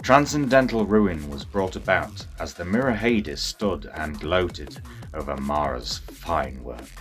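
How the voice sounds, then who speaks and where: A very deep male voice tells a dramatic story in storybook style. He is theatrical and deliberate in his phrasing. Music plays behind him. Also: expressive. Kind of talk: dramatic scripted storybook narration.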